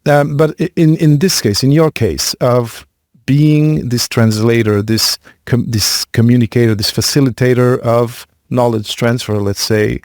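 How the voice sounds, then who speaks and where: A male podcast host asks long, winding questions, rephrasing himself and filling gaps with fillers as he searches for the right words. His voice is mid-pitched and sounds close and dry, with no echo around it. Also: steady tone, a little slow, darkish tone. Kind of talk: conversational interview questions.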